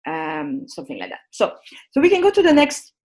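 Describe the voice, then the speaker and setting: A woman's voice: fairly high pitch, wildly swooping pitch, brisk, a little mellow. Hesitant woman teaching a video-call workshop. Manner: hesitant, many ums and restarts, friendly instructional. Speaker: workshop presenter. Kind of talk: live online workshop on a shared screen.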